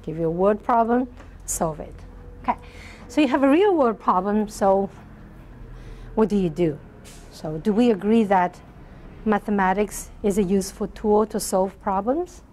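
Soft-spoken woman lecturer, middle-aged, keeps checking if the class is ok, lapel mic in a big lecture hall. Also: medium pitch, moderate pace, pauses often, a little hiss or hum. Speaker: university math lecturer. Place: large lecture hall with blackboard.

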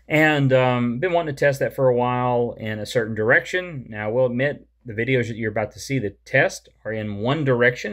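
Man, 30s, lowish voice, rambling hobbyist vlogger, filler-heavy, close camera in a home room. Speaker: holster maker and radio hobbyist. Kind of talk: casual talking-head explanation. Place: small room at home with a wall map. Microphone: camera close at face level.

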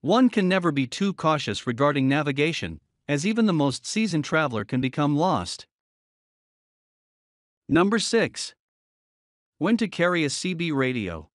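Male voice-over narrator, slightly high and animated, dry studio sound, reads a numbered list. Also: moderate pace, no room sound.

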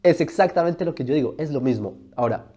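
A man's voice: a little high, lively intonation, fast talker, warm tone, breathy edge. A man, arguing his point in a video monologue, emphatic and full of rhetorical questions, recorded on a lapel mic in a studio. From Colombia. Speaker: religion video host. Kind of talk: argumentative explainer monologue.

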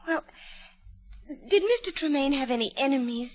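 A woman's voice: sits high, animated, moderate pace. An actress playing an earnest young woman pleading for her father's innocence in a 1940s radio drama. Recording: dull lo-fi vintage radio broadcast.

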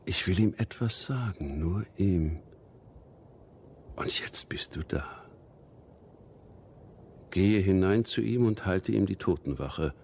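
A solemn, frail old man speaks very slowly in a low voice, halting and sometimes near a whisper. It is radio drama, with faint ambient noise behind. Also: lively intonation, darkish tone, heavily acted. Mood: solemn, grieving. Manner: halting, solemn, breathy half-whisper at times.

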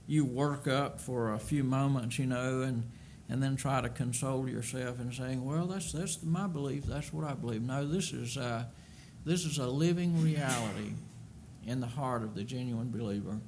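A man's voice: mid-range voice, normal speed, darkish tone. An older man delivers an earnest sermon into a pulpit microphone in a church sanctuary. He presses his points with emphasis, doubling back on words as he goes, and a faint hum of room ambience sits behind him. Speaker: preacher.

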